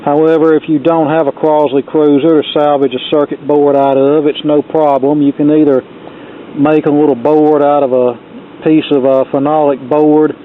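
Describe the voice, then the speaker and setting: A man's voice: fairly high pitch, level tone, moderate pace, a little hiss or hum. A man talking casually through a hands-on hobby project in a folksy, plain-spoken way, like someone showing his work at the bench. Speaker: hobbyist presenting a repair project. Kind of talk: casual hands-on tutorial talk. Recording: thin, phone-like band-limited sound.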